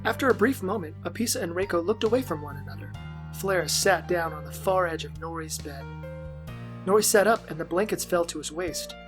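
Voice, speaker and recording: A woman's voice: lowish voice, lively intonation, brisk. Woman narrating over music. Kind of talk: scripted audio drama narration. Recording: music behind the voice.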